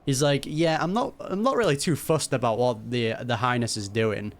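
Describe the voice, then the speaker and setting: Man, mid-pitched voice, amused casual game commentary, slangy, relaxed phrasing. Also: moderate pace. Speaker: gaming streamer.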